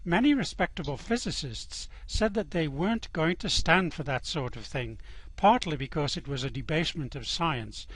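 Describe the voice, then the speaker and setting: A man's voice: a little high, a bit bright, dry recording, rough recording. A man playing the wry narrator of a space guidebook, reading scripted explanations in a radio comedy.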